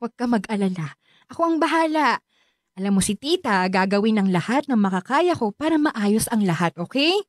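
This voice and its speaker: An actress playing a caring, reassuring middle-aged Filipino aunt in a radio drama. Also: female voice, fairly high pitch, lively intonation, brisk, dry recording, studio quality. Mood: reassuring, coaxing.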